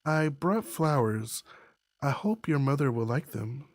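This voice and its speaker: A woman voicing a gentle, considerate young woman in a visual novel. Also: a bass voice, expressive, measured pace, no echo. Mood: concerned.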